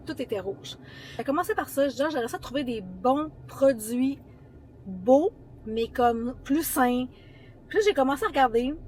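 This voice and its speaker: A woman's voice: fairly high pitch, a little hiss or hum, rough recording. A young woman with a Quebec French accent tells the story of starting her business in a casual, rambling vlog, full of fillers and restarts. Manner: chatty and rambling, frequent fillers and self-corrections. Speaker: founder of a beauty brand.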